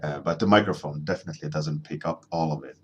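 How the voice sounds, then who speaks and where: A man in his thirties from Sweden with a deep voice, chatting casually and rambling as he streams himself drawing, answering his chat at a desk microphone. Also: slightly rough, highs cut off. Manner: rambling, halting, many ums and restarts, chuckling, answering chat. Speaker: artist streaming a drawing session.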